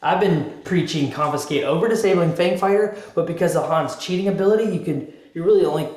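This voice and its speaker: Higher-voiced man in an echoey room. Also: quick pace, a little mellow. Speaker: coach on a live deck-building show. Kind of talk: casual strategy chat.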